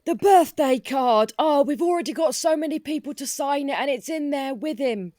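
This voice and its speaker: A woman's voice: high-pitched, normal speed, warm tone, no echo, clean studio sound. A woman improvising in-character dialogue as a player in a roleplay podcast.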